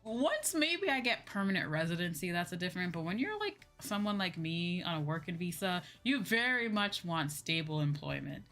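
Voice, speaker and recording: Low-pitched young woman chatting over music. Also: very animated, normal speed, hardly any pauses, fairly bright tone. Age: young adult. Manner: rambling, informal, repeats phrases for emphasis. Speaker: VTuber livestream host. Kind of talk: casual livestream chat. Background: background music.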